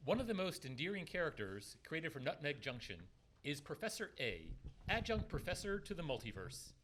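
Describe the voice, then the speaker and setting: A male announcer reads a scripted introduction. His voice is a bit high and very animated, over clear background noise. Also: moderate pace. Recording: clearly noisy recording with clicks.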